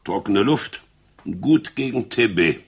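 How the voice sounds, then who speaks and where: An actor playing a jovial, wryly needling cigar-smoking boss in a crime radio drama. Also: male voice, lowish voice, lively intonation, takes it slowly, vocal fry, a little hiss or hum, full character voice. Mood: wry teasing.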